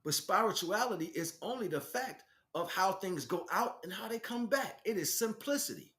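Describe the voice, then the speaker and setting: A man's voice: high voice, animated, moderate pace, fairly bright tone. Man preaching an unscripted spiritual monologue. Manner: emphatic, repeats phrases for stress. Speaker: spiritual teacher, livestream host.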